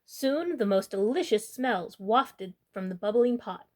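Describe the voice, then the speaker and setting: A woman's voice: mid-range voice, animated, a little slow, darkish tone. A woman hosting a storytime, reading a children's picture book aloud as narrator.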